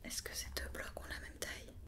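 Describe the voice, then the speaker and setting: Woman whispering asmr, playing a doctor doing a checkup, patient step by step questions, soft breathy. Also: a little fast. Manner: patient, methodical, repeating instructions and questions. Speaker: ASMR performer playing a doctor examining a robot.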